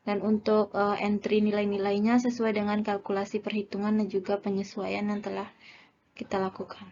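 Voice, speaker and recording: A young woman gives an explanatory class presentation as a student, haltingly reading out figures. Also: mid-range voice, little pitch movement, dark tone. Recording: dull lo-fi audio, clear background noise.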